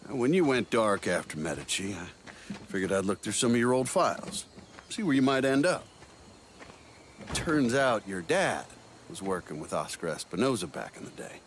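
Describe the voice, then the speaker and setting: An actor playing a breezy, smooth-talking older agency operative with a folksy, wheedling manner in a video game cutscene. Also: male voice, lowish voice, very animated, normal speed, a little hiss or hum. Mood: casual, explaining.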